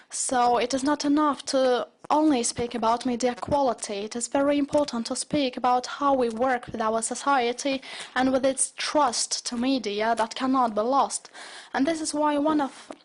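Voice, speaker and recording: A woman, giving a halting simultaneous interpretation of a speech and often correcting herself. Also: high-pitched.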